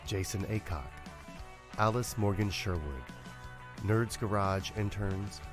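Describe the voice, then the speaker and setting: A man's voice: fairly low pitch, slow pace, over music. A man reading scripted closing credits as an announcer.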